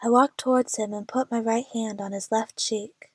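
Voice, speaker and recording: A woman's voice: on the high side, steady tone, moderate pace, slightly rough, dry recording. A woman playing an anxious, lovestruck young woman who narrates her adventure earnestly in an audio drama. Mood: tender, longing.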